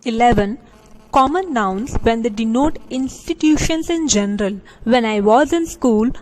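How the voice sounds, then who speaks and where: A woman's voice: a little high, darkish tone, rough recording. A woman teaching an English grammar lesson to students, reading out rules and examples, then explaining them with small restarts and fillers. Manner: slow, deliberate reading of examples with frequent restarts.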